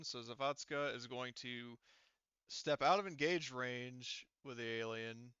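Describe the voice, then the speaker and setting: A man's voice: mid-range voice, gravelly, pushed and bright, clear, resonant tone, no room sound, muffled recording. A man acting as game master in a casual tabletop game, narrating and working out rules aloud in an informal, sweary way. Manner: informal, profane.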